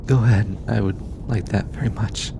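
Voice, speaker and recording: A man playing a gentle, shy, affectionate alien scientist in an intimate roleplay audio, speaking tenderly and hesitantly in formal, earnest phrases. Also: on the low side, steady tone, normal speed, vocal fry, noisy background. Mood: vulnerable, affectionate.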